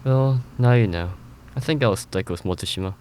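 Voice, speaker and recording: A man's voice: fairly low pitch, normal speed, background noise, lightly acted. A man playing a troubled, self-doubting Japanese-American schoolboy in an audio drama, earnest and confessional. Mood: subdued. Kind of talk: audio drama monologue and dialogue.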